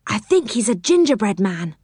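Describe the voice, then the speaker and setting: A woman's voice: medium pitch, big pitch swings, normal speed, a little mellow, breathy edge, no echo, clean studio sound. A woman voicing an eager, bossy young boy in a children's story. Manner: eager and exclaiming.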